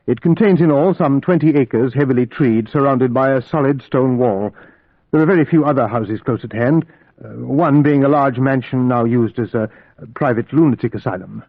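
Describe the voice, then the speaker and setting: A man's voice: mid-range voice, normal speed, no echo, lightly acted. Uneasy, polite Englishman in vintage radio drama acted by a man. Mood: politely uneasy. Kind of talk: old-time radio drama narration and acting. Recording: dull vintage radio recording, music behind.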